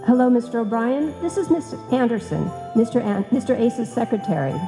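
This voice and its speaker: A woman's voice: a little high, sing-song, a little fast, vocal fry. An actress playing a sensible, hesitant female secretary who questions everything practical in a live old-time radio comedy. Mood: polite, obliging. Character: sensible, doubtful female office secretary and reluctant partner. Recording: live hall recording, long reverb, music.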